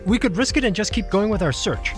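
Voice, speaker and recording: Woman as animated, practical adventurer. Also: medium pitch, fast, over music, natural voice. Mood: reassuring, practical. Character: practical, cautious young adventurer, friendly and talkative. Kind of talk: audio drama acting.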